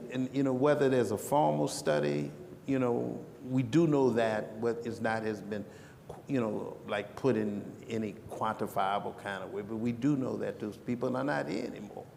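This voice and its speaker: A man's voice: mid-range voice, normal speed, vocal fry, darkish tone. A man answering questions on a conference panel, conversational, often restarting his sentences. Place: conference breakout room. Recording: echoey hall with background noise.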